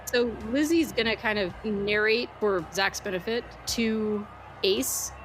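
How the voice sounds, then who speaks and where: A woman's voice: medium pitch, unhurried, a little hiss or hum, low-quality recording. A woman chatting casually as a player in a tabletop roleplaying game.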